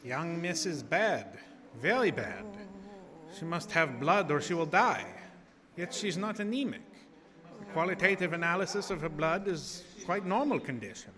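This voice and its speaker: An actor as solemn professor, higher-pitched, echoing hall. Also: male voice, expressive, normal speed, a crowd in the background, close to an everyday voice. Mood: alarmed, grim. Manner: portentous, emphatic, clipped phrases. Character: ominous, solemn professor, male vampire expert. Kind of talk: old-time radio horror drama acting. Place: live broadcast from a theater. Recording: reverberant theater stage, clear background noise.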